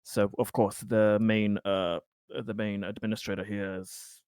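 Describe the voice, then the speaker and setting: A man playing a tabletop roleplaying game, improvising an advisory report in a hesitant, halting manner. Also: lowish voice, little pitch movement, darkish tone, smooth, dry recording, studio quality.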